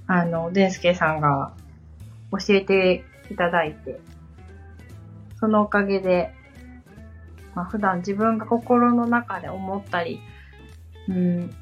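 A woman in her thirties chatting on a podcast as the assistant, polite, halting and reflective. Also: on the low side. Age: 30s. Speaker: podcast assistant and guest learner. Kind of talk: casual podcast conversation. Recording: dull lo-fi sound with background music. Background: background music.

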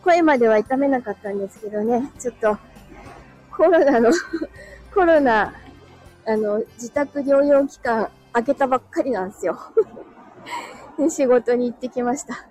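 A woman with a high, light voice hosts a casual livestream while walking outdoors. She is chatty and courteous, greeting viewers and often giggling. Her breath is a little short from the climb after being ill, and faint music sits behind her. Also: moderate pace, pauses often, darkish tone, low-quality recording. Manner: chatty, polite, laughs often. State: breathless from walking uphill, recovering from illness. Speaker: livestream host. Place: outdoors, walking on narrow hilly street.

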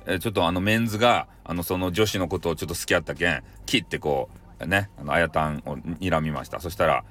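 A man with a Kyushu accent from Fukuoka narrating a comic story in a casual, halting, conversational way. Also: low-pitched, fairly bright tone, faint music behind. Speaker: storyteller, audio show host.

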